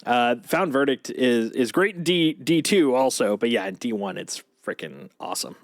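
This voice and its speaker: A man's voice: medium pitch, lively intonation, normal speed, no room sound, clean studio sound. A man chatting casually and in a rambling way as he live streams a video game. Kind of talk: casual gaming stream chat.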